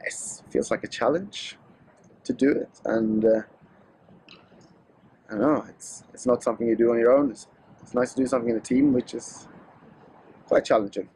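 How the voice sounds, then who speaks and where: Swedish man with a mellow voice. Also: mid-range voice, normal speed, vocal fry, pauses often, a little hiss or hum, poor audio. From Sweden. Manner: easygoing, hesitant. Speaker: interviewee, ski racer. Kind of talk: casual interview answers.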